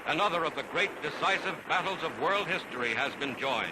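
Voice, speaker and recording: A man's voice: fairly high pitch, animated, a little fast, background noise. A man narrating a 1940s newsreel in a dramatic, solemn, declamatory manner, on an archival soundtrack. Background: loud orchestral music.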